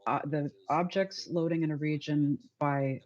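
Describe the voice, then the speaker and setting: A man's voice: on the high side, fairly even intonation, a little slow, a little mellow, highs cut off. A man asking a question in a casual chat, hesitant and searching for words.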